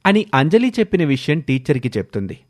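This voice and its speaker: A man's voice: fairly high pitch, sing-song, fairly quick. A man narrating a scripted moral story as its storyteller.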